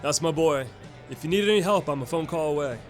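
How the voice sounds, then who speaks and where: Actor as higher-pitched, earnest older uncle over music. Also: male voice, quick pace, natural voice. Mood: fatherly. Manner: earnest, folksy. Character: retired fatherly uncle, earnest and matter-of-fact about monsters. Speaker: uncle in a sketch comedy. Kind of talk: audio sketch comedy acting.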